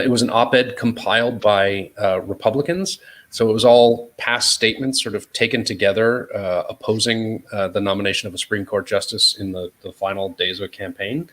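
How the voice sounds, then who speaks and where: A man's voice: lowish voice, low-quality recording. A man with an American accent talking informally as an interview guest, hedging often as he explains his work as an editor. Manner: informal, hedging with "sort of" and "you know". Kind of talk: casual interview talk.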